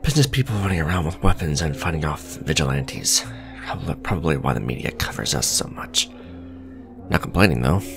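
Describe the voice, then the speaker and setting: Casting: male actor for smug businessman villain, romantic ASMR drama; gravelly fry, expressive intonation, dry conversational wit, faint music underneath. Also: extremely low pitch, fairly quick, full character voice. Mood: smug, matter-of-fact. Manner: sardonic, conversational, sighing and teasing. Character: smug male villain, suave luxury fashion businessman on a date.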